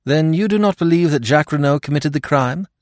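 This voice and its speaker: A man voicing an earnest, eager, loyal gentleman companion to a detective in an audiobook. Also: on the low side, very animated, normal speed, breathy edge, no echo, studio quality, full character voice.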